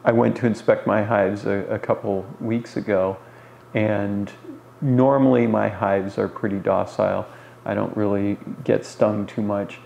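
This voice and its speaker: A man around forty gives an informal explanation to camera as a hobby teacher, pausing as he phrases things, recorded in a small bare room. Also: on the low side, steady tone, gravelly, darkish tone. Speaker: beekeeper answering beginners' questions. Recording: roomy echo, faint background noise.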